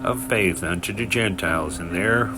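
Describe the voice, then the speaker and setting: A man reading scripture aloud in a solemn narrated style, with deliberate, emphatic phrasing and pauses. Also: low-pitched. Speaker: scripture reader. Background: background music.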